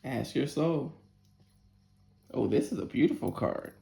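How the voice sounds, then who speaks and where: A woman in her forties with a deep voice giving a casual tarot reading and prayer, chatty and candid, cursing freely. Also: lively intonation, measured pace, gravelly, dark tone. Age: 40s. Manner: chatty, candid, curses freely and laughs often. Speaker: tarot reader. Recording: roomy echo.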